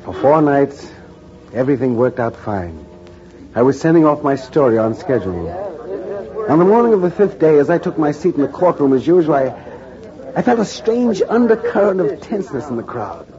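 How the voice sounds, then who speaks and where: An earnest reporter. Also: male voice, on the high side, very animated, normal speed, music in the background. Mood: narrating. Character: earnest male reporter narrating in first person. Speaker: narrator. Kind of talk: 1940s radio drama narration. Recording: dull, hissy 1940s radio broadcast.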